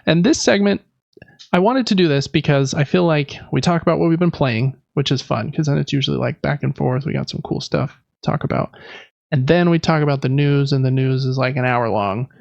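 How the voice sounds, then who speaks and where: A man hosts a casual podcast with a gravelly, slightly raised voice. He rambles conversationally and pokes fun at himself. The recording is dry and a little muffled. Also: on the high side, fairly quick, darkish tone, no echo. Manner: rambling, self-deprecating. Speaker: podcast host. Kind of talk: casual chat about games and life.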